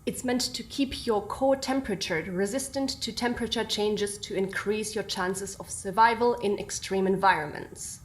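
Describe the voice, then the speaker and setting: An actress playing a reserved research scientist in an audio drama, speaking in a formal, measured, deadpan way as if dictating a log. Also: female voice, mid-range voice, natural voice. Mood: clinical. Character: reserved, clinical female research scientist, drily unamused.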